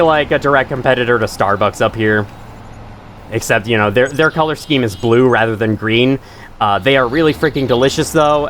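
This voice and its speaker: A young man with a stuffy nose, rambling and swearing casually through relaxed gameplay commentary. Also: medium pitch, a little hiss or hum. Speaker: gaming channel host. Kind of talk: casual let's-play commentary.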